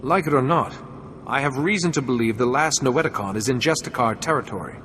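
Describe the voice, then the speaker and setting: An actor playing a resolute young male Jedi apprentice in a video game, speaking in a measured, earnest way. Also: mid-range voice, expressive, fairly bright tone, slight background noise, lightly acted.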